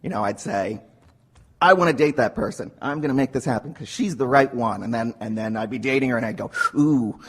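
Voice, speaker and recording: A man sharing a personal story informally with an audience, animated and halting, repeating words and acting out quotes. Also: mid-range voice, husky. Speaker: workshop participant sharing his story.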